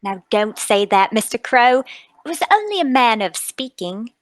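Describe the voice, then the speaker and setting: A woman acting a kindly, alarmed lady, swooping pitch. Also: big pitch swings, normal speed, dry recording. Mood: soothing, puzzled. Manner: gentle, fussing, dramatic. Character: kindly, concerned young lady friend, easily alarmed. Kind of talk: dramatic radio-play table read.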